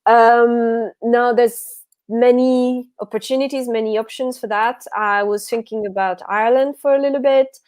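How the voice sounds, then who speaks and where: A woman's voice: fairly high pitch, fairly even intonation, normal speed, darkish tone. A woman from Belgium, a guest author on a livestream, chatting casually and a bit tired, often correcting herself and laughing.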